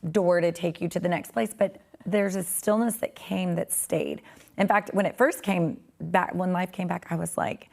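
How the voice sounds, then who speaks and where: A woman in her forties, a podcast guest with a raspy, tired voice, talking earnestly and reflectively about faith. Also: on the low side, steady tone, normal speed, a little mellow. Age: 40s.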